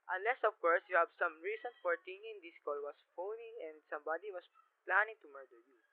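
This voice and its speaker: A teenage boy plays a police desk sergeant in a radio drama, his man's voice quite high and youthful. He is placating and condescending, brushing worries aside, and the recording is dry and thinly muffled. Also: fairly quick, no echo, close to an everyday voice. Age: teens, ninth-grade student. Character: unhurried, dismissive male police desk sergeant. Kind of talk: radio play acting. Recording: muffled, lo-fi amateur radio play sound.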